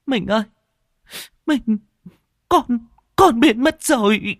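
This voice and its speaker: A man voicing a young wife who is crying and stammering in panic, in a high, breaking voice. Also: slow, no room sound, studio quality, exaggerated voice. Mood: sobbing.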